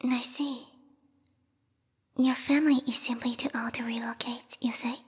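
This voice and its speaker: A woman voicing a formal, haughty bat girl who serves a vampire queen, speaking in a breathy, whispery ASMR roleplay style close to the microphone, politely menacing. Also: fairly high pitch, little pitch movement, moderate pace, in a large hall. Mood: cold, menacing. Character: yandere bat monster girl, devoted servant of vampire queen. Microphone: close binaural microphone.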